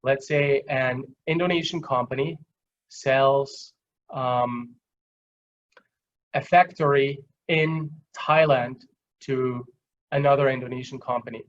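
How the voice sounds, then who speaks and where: A man's voice: medium pitch, fairly even intonation. Austrian man lecturing, dull lo-fi audio. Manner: halting, explanatory, conversational. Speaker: guest lecturer. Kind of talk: webinar lecture with simple examples. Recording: dull, lo-fi online call audio.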